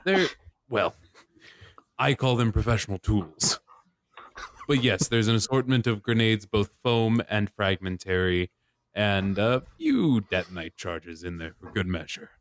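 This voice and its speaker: Guy doing a smug creepy bomb-loving architect, low voice, dry. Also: lively intonation, a little slow, no room sound. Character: proud creepy male demolitions architect with long fingers.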